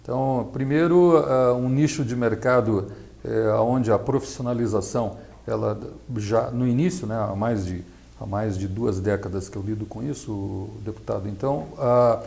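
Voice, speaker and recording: A middle-aged man explaining his field as an interview guest, didactic and halting, often adding tag questions. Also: medium pitch, gravelly, dark tone. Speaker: interview guest, accountant and nonprofit consultant.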